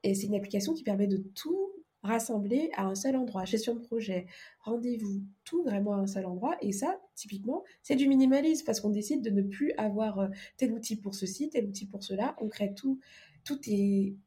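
A woman's voice: medium pitch, lively intonation, a little fast, dark tone. A woman speaking casually as a podcast guest, explaining things informally with examples. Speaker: podcast guest, organisation coach. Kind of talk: casual interview conversation.

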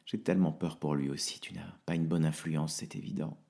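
A man voicing an exhausted, heartbroken woman who speaks quietly, her voice breaking. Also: low voice, animated, moderate pace, husky, exaggerated voice. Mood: broken, tired. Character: weary, heartbroken grown woman.

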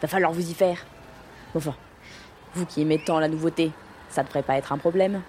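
A woman's voice: deep voice, a little fast, a little mellow, slight background noise, close to an everyday voice. An actress playing a mocking, militant left-wing woman factory worker, wry and taunting, in a satirical audio drama.

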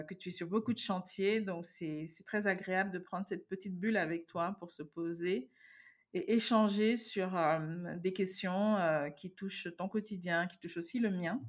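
A woman hosting her podcast, speaking in a warm, effusive way that comes in quick bursts. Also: medium pitch. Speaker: podcast host. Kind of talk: conversational interview.

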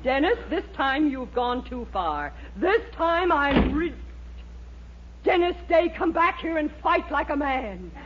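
A woman's voice: high-pitched, big pitch swings, takes it slowly, heavily acted. An actress playing a formidable, suspicious middle-aged mother in a 1940s radio comedy, theatrical and dry, with an American accent. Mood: outraged, menacing. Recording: muffled old radio recording, faint hiss.

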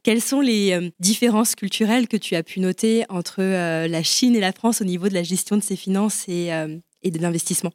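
A woman's voice: medium pitch, a little fast, a little mellow, no room sound, studio quality. A woman moderating a live panel, asking a guest questions in an inquiring tone. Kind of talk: interview questions.

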